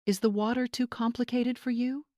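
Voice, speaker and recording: A woman's voice: a little high, level tone, moderate pace, a little mellow, no echo, pitched above the performer's own voice, close to an everyday voice. Woman narrator as spoiled young bride for a storybook drama: syrupy, smug, cutting, very dry close sound. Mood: cold, cutting. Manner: syrupy mockery turning to cold commands. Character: catty young bride, fake-sweet, sharp and condescending.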